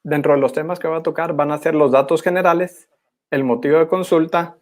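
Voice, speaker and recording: A young man gives a formal academic presentation in a measured, didactic manner as a postgraduate student. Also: a little high, fairly even intonation, a little mellow. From Guatemala. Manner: measured, didactic, clearly enumerating.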